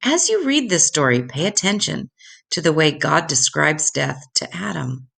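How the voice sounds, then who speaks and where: An older woman reading Bible stories aloud to children in a deliberate, instructive way, addressing them directly. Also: low-pitched, very animated, creaky, fairly bright tone, slightly rough.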